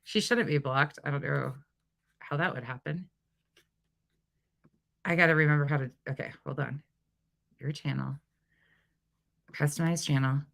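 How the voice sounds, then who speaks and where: A woman's voice: low voice, measured pace, creaky, long pauses. A middle-aged woman from North Dakota with a light North Dakota accent, hosting a casual livestream and talking bluntly with plenty of swearing. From North Dakota, USA. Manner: blunt, profane and animated. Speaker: livestream host. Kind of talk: casual livestream chat reading comments.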